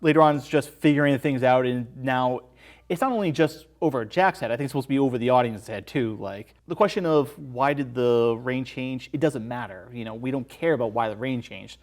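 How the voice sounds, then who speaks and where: A man's voice: mid-range voice, brisk. A man talking as a podcast guest, analysing films in an explanatory, conversational way.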